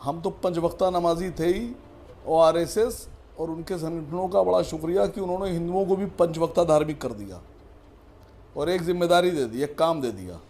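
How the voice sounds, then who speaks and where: A man's voice: fairly high pitch, normal speed, warm tone. A man giving a sardonic rebuttal as a panelist in a television debate, with mock praise. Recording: faint background noise.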